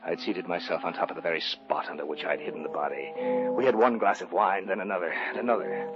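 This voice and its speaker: An American actor playing a guilt-racked, nervous murderer in an old radio horror drama, with theatrical, halting delivery. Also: male voice, deep voice, steady tone, close to an everyday voice. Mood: brooding, haunted. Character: unhinged male murderer, guilt-racked, feigning polite composure before cracking. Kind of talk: 1940s-50s American radio horror acting, dialogue and narration. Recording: dull old radio recording with music.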